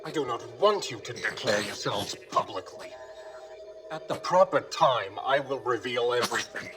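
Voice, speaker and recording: An actor playing an ambitious, commanding Klingon lord in a video game cutscene, speaking in an imperious, deliberate way. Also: male voice, medium pitch, lively intonation, music in the background, lightly acted. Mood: imperious, measured.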